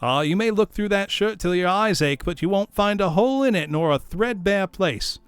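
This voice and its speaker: A man's voice: sits high, expressive, moderate pace, smooth, no room sound, soft background music, voice pushed up. A man voicing a brazen, scornful charwoman in a storybook reading, coarse, gloating and emphatic. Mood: brazen, self-satisfied. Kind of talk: storybook character voice.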